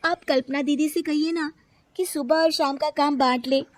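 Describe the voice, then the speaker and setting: A woman's voice: high voice, fairly even intonation, moderate pace, voice pushed up, close to an everyday voice. A woman voicing a dutiful, overworked younger daughter-in-law who pleads earnestly in a cartoon story. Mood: pleading.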